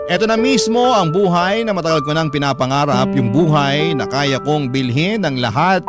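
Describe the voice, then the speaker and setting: A man tells a dramatic first-person story as a radio drama narrator. His voice is a little higher than average, with a confiding, emotive delivery. Music plays loudly behind him. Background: prominent music.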